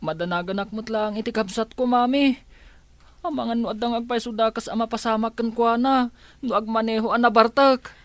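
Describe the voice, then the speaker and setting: A man playing a high-voiced, apologetic son. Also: fairly even intonation, normal speed, fairly bright tone, no echo. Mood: worried. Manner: apologetic, polite. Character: dutiful young adult son, worried about his sister. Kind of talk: Ilocano audio drama.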